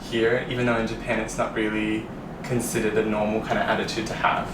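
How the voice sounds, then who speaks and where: A man from Australia speaks casually in a fairly low voice with an Australian accent. He sounds set back from the microphone in an echoey space, with clear noise behind him. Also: little pitch movement, brisk, distant mic. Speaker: interview panel guest. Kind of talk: casual personal account in a group interview.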